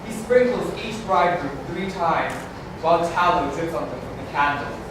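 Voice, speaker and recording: A man's voice: fairly high pitch, normal speed. Man reading stage directions in echoey hall. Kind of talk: scripted narration at a staged reading. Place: large community hall. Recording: echoey hall from far off, noisy.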